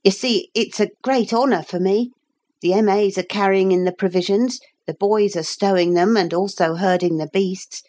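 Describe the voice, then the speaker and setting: A woman voicing a polite, modest young male official in a storybook, talking briskly and deferentially. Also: medium pitch, fairly even intonation, darkish tone, voice pushed up, natural voice. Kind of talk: audiobook character voice.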